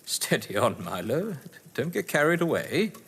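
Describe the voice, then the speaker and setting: Older British gentleman villain, played by a male actor: high-pitched, florid, scheming, audio-drama delivery, echoey hall. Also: very animated, unhurried, breathy edge, full character voice. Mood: suave, scheming. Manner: florid, pompous, sardonic. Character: theatrical, scheming upper-class male crime novelist and manor host. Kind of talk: stage-play audio drama acting.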